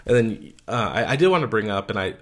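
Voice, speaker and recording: A man hosting an interview, talking in a halting, rambling way with many restarts. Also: fairly low pitch, animated. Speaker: interviewer, host. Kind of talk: casual interview conversation.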